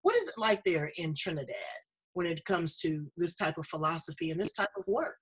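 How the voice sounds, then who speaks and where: Husky-voiced, effusive woman host. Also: fairly low pitch, animated, brisk, a bit bright. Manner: effusive, talkative, playful. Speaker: show host interviewing a guest. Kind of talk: conversational interview hosting.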